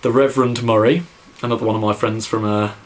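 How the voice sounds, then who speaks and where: Young English man from the Midlands, lowish voice, rambly casual vlogger full of ums and likes. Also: steady tone, fast talker. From England, near Birmingham. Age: young adult. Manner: rambling, lots of fillers and "like", says so himself. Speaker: YouTube musician answering viewer questions. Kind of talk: casual vlog Q&A.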